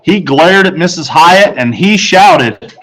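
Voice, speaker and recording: A man who is a teacher, narrating a children's book aloud with expressive storytelling. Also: fairly high pitch, slow pace, low-quality recording.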